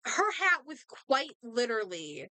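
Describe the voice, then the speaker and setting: A woman's voice: a little high, big pitch swings, a little slow, bright, forceful tone, clean tone, dry recording. Young woman with a sore throat. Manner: chatty, thinking aloud, trailing off. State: sore throat, losing her voice; hiccups. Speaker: gaming streamer. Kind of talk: casual commentary while playing a game.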